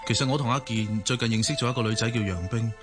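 A man's voice: medium pitch, fairly even intonation, quick pace, close to an everyday voice. An actor playing an earnest, inquisitive young man in a radio drama, polite, with a Hong Kong Cantonese accent. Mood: calm, polite. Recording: music behind the voice.

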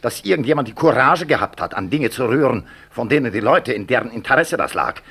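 Gravelly, fiery professor. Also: male voice, medium pitch, expressive, a little fast, a bit bright. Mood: indignant, scathing. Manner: emphatic, rhetorical. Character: hot-tempered, outspoken male medical professor, indignant. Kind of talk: German 1960s radio drama acting.

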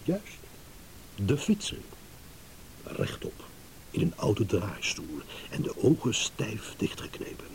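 An actor playing a shrewd, self-satisfied motorist delivering a deliberate, ironic, accusing monologue in a radio play. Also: male voice, fairly low pitch, wildly swooping pitch, darkish tone, full character voice, rough recording. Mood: mocking. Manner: theatrical, deliberate, ironic, with dramatic pauses. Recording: hissy old radio play recording.

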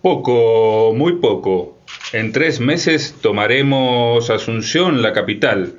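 Man playing a slow, pompous general. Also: medium pitch, lo-fi sound, a little hiss or hum, close to an everyday voice. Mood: smug. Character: overconfident, self-important army general. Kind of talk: radio drama acting.

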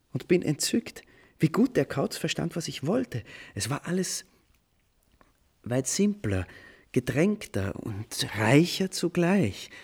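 A man's voice: fairly high pitch, husky, heavily acted. A playful, impulsive male composer. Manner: animated, ornate, old-fashioned storytelling. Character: playful, impulsive male composer recounting his nighttime inspiration. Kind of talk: German radio play acting.

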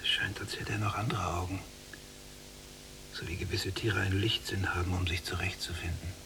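An actor playing a watchful, coolly domineering younger prisoner in a radio drama, speaking in measured, musing phrases. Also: male voice, deep voice, flat delivery, natural voice. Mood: uneasy, hushed. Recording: distant mic, noisy hum.

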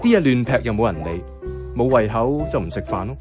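Radio drama lead: male actor as wry, low-key young Hong Kong flatmate, animated intonation, casual narration over prominent music. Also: a little fast, lightly acted. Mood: wry, reflective. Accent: Hong Kong Cantonese. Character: mild, wry young man, reluctant flatmate narrating his life. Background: background music.

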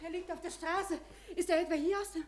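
An actress playing a conscientious, gently insistent office secretary in a 1950s German radio play, speaking earnestly and respectfully. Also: female voice, extremely high pitch, steady tone, a little fast, background noise. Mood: shocked.

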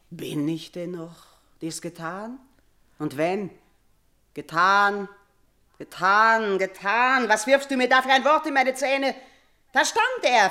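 A guilt-ridden, imperious queen of middle years in a classical radio play. She speaks theatrically in a medium-pitched voice, moving from uneasy murmurs to commanding outcries. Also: pushed and bright. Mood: troubled, brooding. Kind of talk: classical German radio drama acting.

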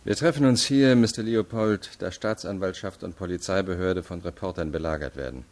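An actor playing a stern, probing investigator in a radio crime drama, formal, measured and insistent. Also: male voice, low voice, darkish tone. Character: stern, probing adult male investigating state attorney. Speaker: interrogator.

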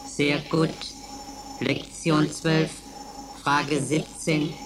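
Monotone, slow female computer voice. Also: sits low, background noise, full character voice. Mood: flat, procedural. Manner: clipped, formulaic, monotone announcing. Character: emotionless female computer running roll calls and quizzes. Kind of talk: radio play acting.

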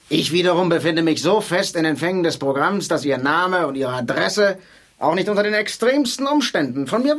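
An actor playing a solemn, officious courier in a radio drama, speaking formally and precisely. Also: male voice, sits high, a bit bright, heavily acted. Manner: formal, matter-of-fact, precise. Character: officious male courier on a secret mission, solemn. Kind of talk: theatrical radio drama dialogue.

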